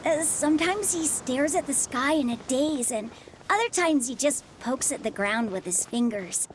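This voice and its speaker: A woman's voice: sits high, lively intonation, moderate pace, slight background noise. A woman voicing a timid, earnest young village child in a video game, with a hesitant way of talking.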